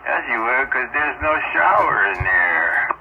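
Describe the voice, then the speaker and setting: A tinny radio transmission with plenty of static carries a man's medium-pitched voice. He talks as a hobby operator, trading casual banter. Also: sing-song, measured pace, gravelly. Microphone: radio transceiver microphone.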